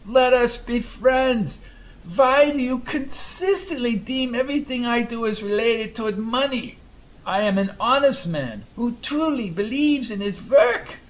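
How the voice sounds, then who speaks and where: A man voicing a loud, older male scientist who speaks with a thick foreign accent and a commanding, pompous manner. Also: extremely high pitch, measured pace, voice pushed up, low-quality recording. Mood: ingratiating.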